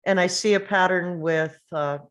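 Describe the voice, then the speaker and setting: A woman's voice: lowish voice, fairly even intonation, moderate pace. A woman speaking hesitantly and in a laid-back way in a casual group discussion.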